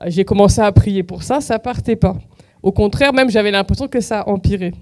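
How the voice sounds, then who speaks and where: A woman's voice: mid-range voice, brisk, a little mellow. A woman giving an earnest, chatty testimony during a church service. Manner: earnest, conversational storytelling. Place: church hall.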